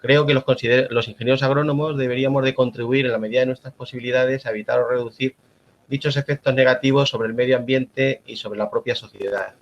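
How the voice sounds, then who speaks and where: A man from La Mancha with a Spanish accent giving a formal, measured conference talk as an agronomist. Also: mid-range voice, steady tone, muffled recording. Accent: Spanish (Spain). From La Mancha, Spain. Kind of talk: prepared conference presentation.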